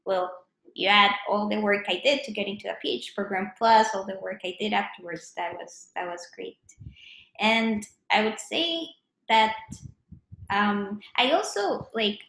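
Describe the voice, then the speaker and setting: A Colombian woman in her thirties speaks with a Colombian Spanish accent at a middling pitch. She talks carefully, restarting phrases as she thinks aloud. Her voice is picked up by a webcam in a home room that gives it a noticeable echo. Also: a bit bright. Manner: thoughtful, self-correcting, frequent restarts. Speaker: mathematician. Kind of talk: recorded interview answers. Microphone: webcam at desk distance.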